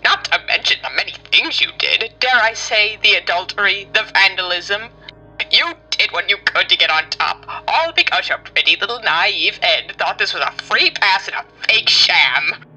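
A man's voice: sits high, expressive, normal speed, sharp tone, faint music behind, full character voice, poor audio. A man voicing a sinister, suave male demon who talks in a theatrical, mocking way with honeyed menace. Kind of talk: fan comic dub.

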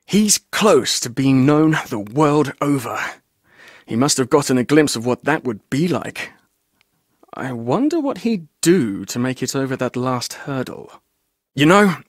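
A roguish, chatty tour guide from an adventure video game. His voice sits slightly high for a man and moves with very lively intonation. He talks like a glib, jaunty showman telling tales, recorded dry with no room sound. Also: no room sound. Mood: musing, wry. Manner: glib, jaunty, theatrical storyteller. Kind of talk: video game character dialogue.

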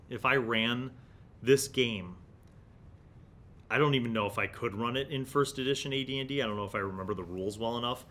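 A man's voice: fairly low pitch, pushed and bright. A middle-aged man hosts a casual review, musing in stop-and-start phrases and reading excerpts aloud into a desk mic. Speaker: host of a tabletop gaming review series. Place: small indoor studio room. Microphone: desk microphone in front of him.

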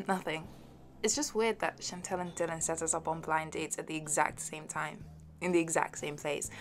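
A woman's voice: sits low, fairly even intonation, normal speed. A woman playing a playful, shyly fond young best friend in an intimate audio roleplay, giggly and hesitant. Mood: amused, incredulous. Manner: giggly, hesitant, soft trailing asides. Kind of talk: intimate audio roleplay, one side of a conversation.

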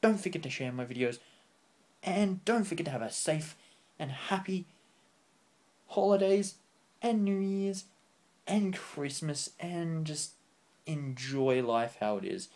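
A young man signing off his vlog, rambling off the cuff and earnest, his voice getting a bit croaky. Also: fairly high pitch, animated, takes it slowly, pauses often, darkish tone. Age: young adult. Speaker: channel host. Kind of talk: unscripted vlog sign-off. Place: small room indoors, on a couch.

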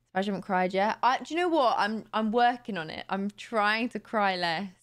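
A young woman streaming from her room late at night, tired and a little raspy, chatting casually and thanking viewers on a close studio mic. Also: medium pitch, expressive, moderate pace, fairly bright tone. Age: young adult. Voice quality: slightly raspy today. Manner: chatty, bubbly, laughs easily. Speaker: live streamer. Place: home streaming room, indoors. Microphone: boom studio mic close to mouth.

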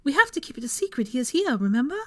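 A fiery young witch, as a female narrator plays her in a storybook reading. She speaks high and emphatically, exasperated and quick to take offence, in a close, dry sound. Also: sing-song, pushed and bright, no echo, heavily acted. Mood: incredulous. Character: indignant, hot-tempered young witch.

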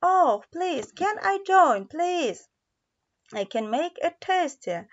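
Woman as a shy, high-pitched lady tomato. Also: sing-song, slow pace, full character voice. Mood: shy pleading. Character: shy female tomato, pleading to join. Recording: dull lo-fi recording.

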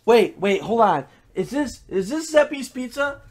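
A man's voice: very high voice, very animated, a little slow. A man in his thirties making a prank phone call from a small bedroom. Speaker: prank caller.

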